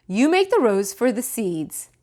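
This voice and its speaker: A woman's voice: a little high, sing-song, a little slow, darkish tone. A woman voicing a practical, firm father rabbit from a storybook, giving emphatic instructions. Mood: instructing.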